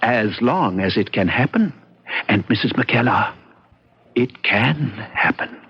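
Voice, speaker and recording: A man's voice: mid-range voice, expressive, takes it slowly, vocal fry, husky, exaggerated voice. An actor playing a sly, menacing man who makes veiled threats in an old-time radio drama, insinuating and taunting. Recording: muffled vintage radio broadcast.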